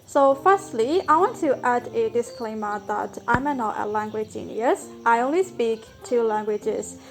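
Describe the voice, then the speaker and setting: A young woman with a Burmese accent talks through a language-learning lesson in a vlog. Her voice sits a little high, and her delivery is earnest and explanatory, leaning on "so" and "like". Faint music plays underneath. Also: expressive, unhurried.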